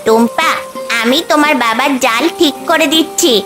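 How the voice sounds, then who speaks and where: A woman's voice: sits high, moderate pace, over music, close to an everyday voice. A woman voicing a kind magical bird in a cartoon story, reassuring and instructive in manner. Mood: purposeful. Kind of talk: Bengali cartoon story dubbing.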